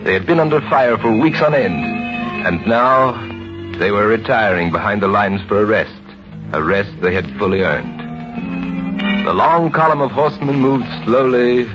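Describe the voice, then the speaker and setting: A man narrating a story in a dramatic old-time radio style. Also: fairly low pitch. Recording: muffled old radio recording. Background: prominent music.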